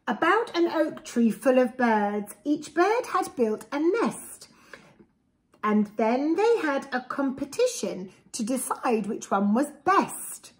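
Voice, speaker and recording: Preschool storyteller: English woman, fairly high voice, very unhurried, expressive picture-book reading. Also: low-quality recording. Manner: expressive, deliberate, with simple explanatory asides. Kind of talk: reading a rhyming picture book aloud to young children. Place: small indoor room with bookshelves. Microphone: camera a short distance away.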